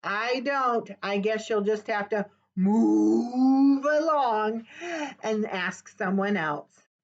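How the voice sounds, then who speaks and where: A woman voicing an unhelpful farm cow who talks low and slow. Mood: dismissive. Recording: dull webcam audio.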